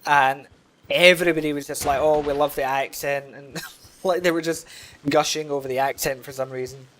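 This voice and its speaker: A man's voice: a little high, fairly even intonation, normal speed, a bit bright, slight background noise. A man streaming a game and chatting loosely with his live audience, rambling with lots of filler words. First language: English. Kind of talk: casual chat with a live audience while gaming.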